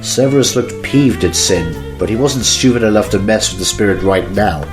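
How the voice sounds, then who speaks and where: A man's voice: fairly low pitch, moderate pace, music in the background. A man reading scripted narration as the narrator of an amateur audio drama. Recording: roomy, echoing home recording.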